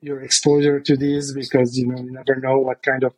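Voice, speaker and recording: Guest, male, from Lebanon, husky, unhurried, lots of "um". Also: medium pitch, completely flat delivery, no echo, poor audio. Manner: measured, hesitant, many fillers. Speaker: podcast guest. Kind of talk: casual podcast interview.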